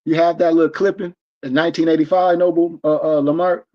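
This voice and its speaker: A man's voice: a little high, flat delivery, fast, warm tone, lo-fi sound. A man in his forties, from the United States, lecturing in a casual preaching style as host of an online stream, emphatic and rambling with many restarts. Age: 40s.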